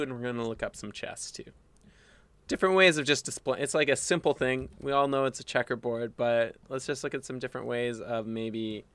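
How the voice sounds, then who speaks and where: A man's voice: mid-range voice, a bit bright, smooth. Phlegmy man musing aloud at his desk. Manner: rambling, musing aloud with fillers and restarts. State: a little phlegmy. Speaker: graphic designer streaming his work. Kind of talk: casual think-aloud design stream.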